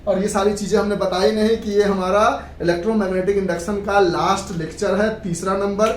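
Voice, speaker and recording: A woman's voice: mid-range voice, steady tone, fairly quick. A woman teaching physics in an instructive lecture style, repeating points and mixing in English terms. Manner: instructive, repeats and checks understanding. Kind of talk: classroom lecture. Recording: echoey room, distant mic, background noise.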